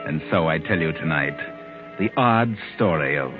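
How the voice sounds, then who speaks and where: An actor playing a sinister, mysterious storyteller, narrating a 1940s radio mystery in an ominous, deliberate manner. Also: male voice, rumbling low, animated. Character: sinister, mysterious male storyteller who walks by night. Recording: narrow-band old radio recording with music. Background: music.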